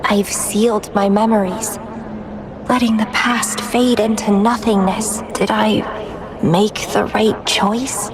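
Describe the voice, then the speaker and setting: A woman playing an enigmatic, proud and world-weary young woman in a video game, musing slowly with wistful self-questioning. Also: medium pitch, level tone, noisy background, close to an everyday voice. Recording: background music under the voice.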